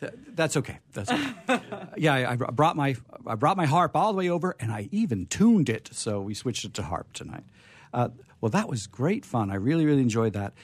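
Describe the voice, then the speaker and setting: A man's voice: mid-range voice, very animated, normal speed, husky. A man hosting a radio theatre show in a casual, playful, rambling way. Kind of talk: casual, chatty live hosting.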